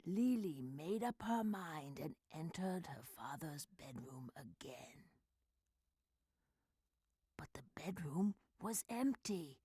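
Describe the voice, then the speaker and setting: A woman playing a mystical sea spirit who recounts a tragic tale theatrically in an amateur game fan dub, drawing out words between breathy whispers and loud swells. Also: low voice, lively intonation, slow pace, dry recording, studio quality, full character voice. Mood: hushed, sorrowful.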